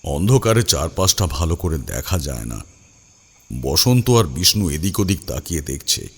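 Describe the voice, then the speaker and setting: A man's voice: very deep voice, very animated, normal speed, slightly rough. A man narrating a scripted first-person horror story in a dramatic, suspenseful manner.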